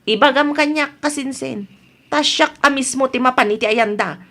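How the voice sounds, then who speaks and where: A woman plays an indignant, protective cousin in an Ilocano radio comedy drama. Her voice is high and she has an Ilocano accent. She scolds emphatically on behalf of her family. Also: normal speed, pushed and bright.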